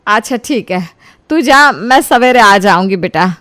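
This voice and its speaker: A woman's voice: medium pitch, expressive, unhurried, fairly bright tone, no echo. A woman playing a caring older mother in a story, speaking in a familiar, emphatic and reassuring way. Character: caring older mother visiting her ailing friend.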